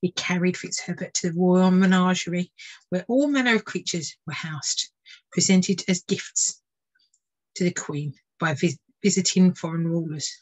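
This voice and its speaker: A woman's voice: fairly low pitch, moderate pace, a little mellow, low-quality recording. A woman tutor narrating a children's storybook expressively. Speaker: tutor reading a children's book.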